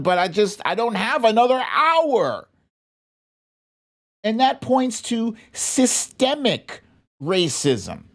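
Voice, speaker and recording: Casting: American political show host, medium pitch, slow deliberate pace, blunt and indignant, speaking off the cuff with heavy emphasis. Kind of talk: opinionated political commentary, unscripted.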